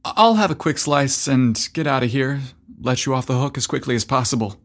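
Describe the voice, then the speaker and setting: A man's voice: mid-range voice, animated, moderate pace, natural voice. Man playing shy, hesitant young nerd. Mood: flustered. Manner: hesitant, self-deprecating. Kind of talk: audio storybook character voice.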